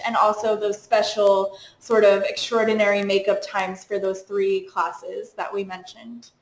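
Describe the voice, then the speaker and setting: A woman's voice: medium pitch, reverberant, slight background noise, low-quality recording. A woman from the United States, a program coordinator giving practical, chatty advice in a webinar presentation.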